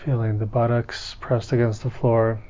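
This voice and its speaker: A man leading a guided meditation in a calm, gentle, unhurried manner, with long pauses between instructions. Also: medium pitch, dull audio.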